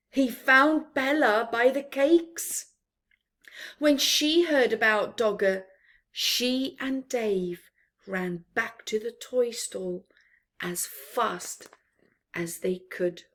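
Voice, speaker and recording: Female storyteller for young children's picture book, higher-pitched voice, unhurried, dramatic read-aloud delivery with hushed touches. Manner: slow, deliberate, dramatic, often dropping to a hushed whisper.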